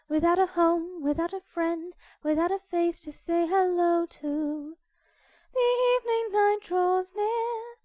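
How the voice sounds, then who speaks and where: A woman's voice: very high-pitched, darkish tone, no room sound, clean studio sound. A woman amateur singer casually singing songs a cappella from memory, without any backing. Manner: casual, informal, unaccompanied singing.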